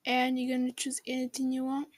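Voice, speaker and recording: A woman hosting a casual how-to screen recording, speaking haltingly and repeating words as she goes. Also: high-pitched, monotone, dry recording. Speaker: tutorial host.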